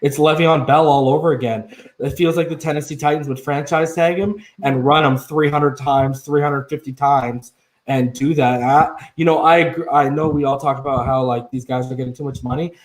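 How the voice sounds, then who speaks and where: A man's voice: a little high, fairly even intonation, moderate pace. A man co-hosting a casual sports talk show, emphatic and opinionated, often tagging "man" onto his points.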